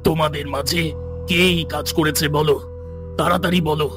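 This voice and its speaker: Man playing an anxious, flat-toned cartoon guard. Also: a little high, normal speed, over music, close to an everyday voice. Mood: alarmed, worried. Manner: fearful, deferential. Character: anxious male guard serving an evil sorceress.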